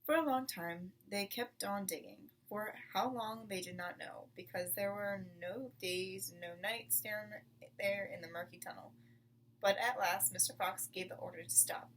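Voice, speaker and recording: A woman reading a children's book aloud in an expressive, deliberate storytelling manner. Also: medium pitch, far from the mic, slight background noise, rough recording. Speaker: storybook reader.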